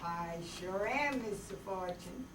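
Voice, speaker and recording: A woman's voice: medium pitch, animated, slow. A woman playing a polite, lovesick gunman with folksy phrasing in an old-time radio drama. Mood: polite menace. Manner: drawling folksy grammar, courteous while threatening. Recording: roomy, noisy, distant microphone stage recording.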